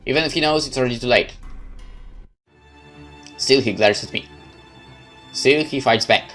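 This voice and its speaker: A man narrating a horror visual novel in first person, calm, measured and brooding. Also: medium pitch, faint music behind. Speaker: let's play host narrating a visual novel.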